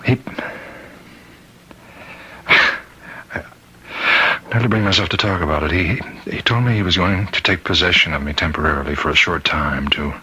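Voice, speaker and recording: A low, forceful voice with a husky edge: a tormented prison warden in a vintage radio mystery. He speaks haltingly and repeats himself, an anguished husband afraid that something evil controls him. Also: exaggerated voice, rough recording. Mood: haunted, grave. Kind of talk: old-time radio drama acting. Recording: vintage radio drama recording.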